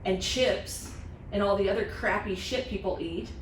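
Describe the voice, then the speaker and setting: A woman's voice: mid-range voice, normal speed, distant mic. An American woman in her forties hosting a casual podcast, blunt and emphatic, swearing freely. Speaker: podcast host. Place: small office meeting room. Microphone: podcast mic on boom arm. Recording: roomy, off-mic sound, faint noise.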